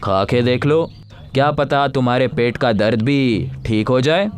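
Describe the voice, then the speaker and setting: A man's voice: medium pitch, a little slow, faint music behind, lightly acted. A man playing a friendly young village fritter seller in a story, cheerful and theatrical in his talk.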